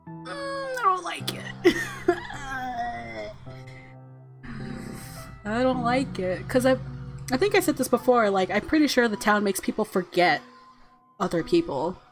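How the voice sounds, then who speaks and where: A woman's voice: on the high side, big pitch swings, takes it slowly, long pauses. Woman streamer reading over faint game music. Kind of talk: reading a visual novel aloud with casual reactions.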